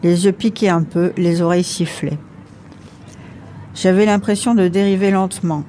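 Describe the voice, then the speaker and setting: A woman's voice: on the low side, fairly even intonation, moderate pace, mellow, slight background noise. A woman reading scripted first-person narration from a novel. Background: faint music.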